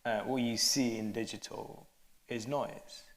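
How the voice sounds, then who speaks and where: A man's voice: on the low side, fairly even intonation, unhurried. A man, a cinematographer, informally explaining technical matters on a panel, recorded with roomy, echoing sound.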